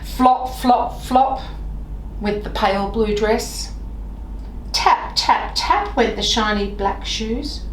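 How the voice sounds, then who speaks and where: A woman reading a children's storybook aloud in an expressive, deliberate way, with sing-song repeated phrases. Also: on the high side, recorded from a distance. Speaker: library staff member reading stories. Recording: roomy echo, off-mic, faint noise.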